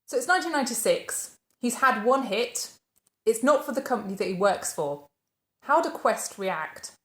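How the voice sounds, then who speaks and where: A woman's voice: a little high, animated, moderate pace, echoey room. A woman, hosting her channel and narrating a life story in a scripted way, posing questions and then answering them. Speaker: channel host.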